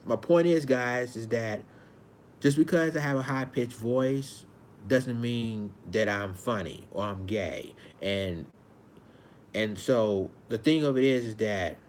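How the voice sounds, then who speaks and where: A man in his forties from Arkansas with a high-pitched voice, talking earnestly to his viewers in a casual monologue, often repeating and restarting his phrases. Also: darkish tone, a little hiss or hum. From Arkansas, United States. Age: 40s. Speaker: vlogger.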